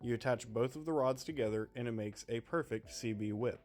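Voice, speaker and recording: A man's voice: mid-range voice, fairly quick, a little mellow. A man narrating a scripted product review in an explanatory, presenter-like way. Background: background music.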